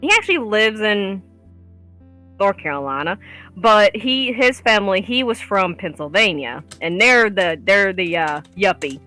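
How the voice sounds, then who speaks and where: A woman's voice: mid-range voice, big pitch swings, a little slow, pushed and bright, faint music behind. A woman from the Southern United States with a Southern accent, rambling and hesitant in casual stream chat. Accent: Southern American.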